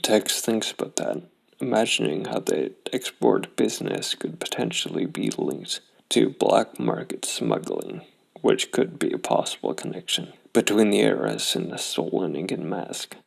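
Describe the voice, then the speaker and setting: A man's voice: fairly low pitch, very animated, measured pace, creaky, husky, poor audio. A man narrating a solo tabletop role-playing game, thinking aloud and reasoning things out. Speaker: solo RPG player and host.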